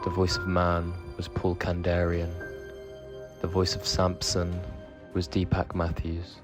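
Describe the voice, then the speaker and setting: A man's voice: low voice, completely flat delivery, a little slow. A man reading scripted credits as the announcer of an audio drama. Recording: music under the voice. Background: music.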